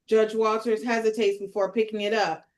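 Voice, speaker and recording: A woman's voice: fairly high pitch, flat delivery, moderate pace. A woman narrating screenplay stage directions as she leads a table read.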